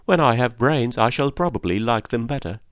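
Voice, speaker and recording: A man voicing a gentle, cheerful scarecrow in a storybook reading. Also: mid-range voice, lively intonation, fairly quick, no room sound, clean studio sound, natural voice. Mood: mild.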